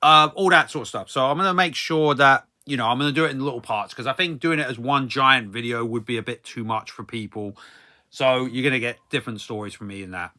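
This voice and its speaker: A man in his thirties with a very bright, forceful voice at an average male pitch. He talks like a vlogger doing a casual story time, rambling along in a hesitant, repetitive way and often tacking filler tags onto the ends of his phrases. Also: fairly quick.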